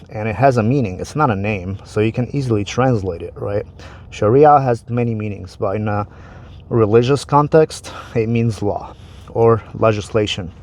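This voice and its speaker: A man's voice: medium pitch, darkish tone. Casual man. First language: Arabic. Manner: conversational, repeats words, restarts phrases. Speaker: vlogger explaining religion. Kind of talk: casual explanatory talk to camera.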